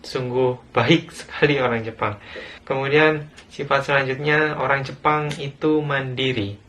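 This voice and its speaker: A man's voice: medium pitch, fairly even intonation, a little slow, a bit bright. A young Indonesian man giving an earnest, plain talk in a recorded video, with some hesitations. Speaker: guest speaker living abroad.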